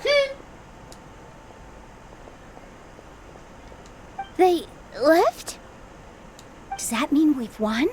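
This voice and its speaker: A woman voicing a gentle, earnest young dancer in an anime game, sincere and soft-hearted in manner. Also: high-pitched, wildly swooping pitch, takes it slowly, background noise, exaggerated voice. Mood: weary relief. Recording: game music behind the voice.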